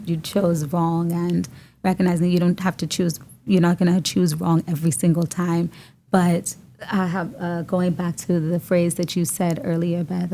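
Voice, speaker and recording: A Bahamian woman co-hosts a podcast from a studio room, thinking out loud with frequent fillers and restarts. Her voice is warm and mellow, set a little low, with a faint hum behind it. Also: gravelly. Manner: reflective, many fillers and restarts. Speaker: podcast co-host. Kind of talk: casual podcast conversation.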